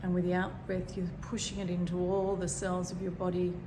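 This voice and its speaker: A woman with a slightly croaky voice, gently talking through a meditation and often pausing to find her words. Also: fairly low pitch, monotone. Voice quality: croaky, frog in throat. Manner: gentle, rambling, searching for words. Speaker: meditation teacher. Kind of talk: guided meditation talk. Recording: echoey room with background noise.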